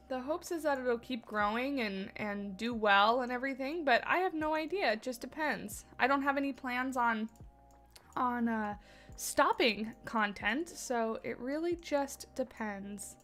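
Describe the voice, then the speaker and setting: A chatty woman talking from her home office, her voice sitting a bit high and a little stuffy from a cold. She rambles and pokes fun at herself as she chats. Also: unhurried, faint music behind. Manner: chatty, rambling, self-deprecating. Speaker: game livestreamer. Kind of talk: casual chat with live chat.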